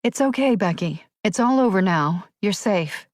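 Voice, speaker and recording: A woman's voice: mid-range voice, lively intonation, normal speed, no room sound, pitched above the performer's own voice, close to an everyday voice. A woman narrator acts out a tough female police officer in a thriller audiobook. She is commanding and protective, her voice raised and pressing, recorded close and dry. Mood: soothing, worried. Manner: urgent, forceful commands. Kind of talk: audiobook character dialogue.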